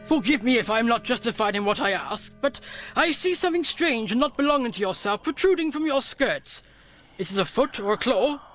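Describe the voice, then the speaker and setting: An actor playing a humbled, fearful old miser, earnest and pleading in formal radio play speech. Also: male voice, extremely high pitch, lively intonation, fairly quick, a bit bright, faint music behind. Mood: fearful, questioning.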